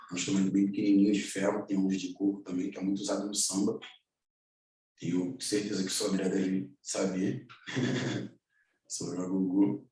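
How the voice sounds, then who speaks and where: A man in his twenties from Angola with a carioca accent, telling his story as a musician in a relaxed, slangy way full of fillers. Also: fairly low pitch. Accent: Rio de Janeiro (carioca). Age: 20s. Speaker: musician guest. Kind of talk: casual personal storytelling. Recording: very echoey room, far from the mic.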